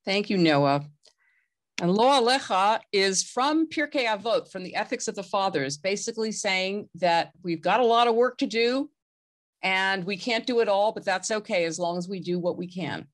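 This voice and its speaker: A woman's voice: mid-range voice, expressive, normal speed. A woman leading a religious service, introducing participants in an informal speaking style.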